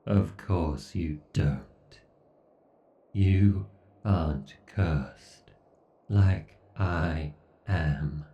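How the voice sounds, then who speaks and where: A man's voice: extremely slow, pauses often. A man playing an obsessed, condescending villain AI god in a horror ASMR roleplay, with a brooding, theatrical, menacing delivery. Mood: bitter yearning. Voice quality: gravelly, dark, low. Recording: dull, roomy, slightly distant sound.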